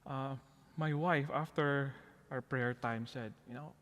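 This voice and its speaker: A man's voice: mid-range voice, steady tone, takes it slowly, a bit bright. Filipino-accented man in an echoey hall. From Philippines. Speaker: guest, parish outreach coordinator. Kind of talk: conversational personal storytelling. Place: church, before the altar. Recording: long echoey reverb of a large hall.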